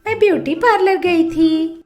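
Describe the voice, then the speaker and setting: Timid young village wife, played by a woman, gossipy and excitable, very high pitched, storybook tale over music. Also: measured pace. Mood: pleading, bold. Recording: music bed under the voice.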